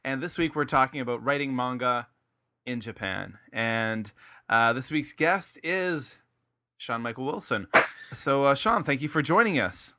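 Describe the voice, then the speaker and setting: A man's voice: medium pitch, measured pace, bright, forceful tone. A man from Nova Scotia hosting a casual podcast, upbeat and chatty with many fillers, in a small home studio. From Nova Scotia, Canada. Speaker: podcast host. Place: small home studio room with a floor fan.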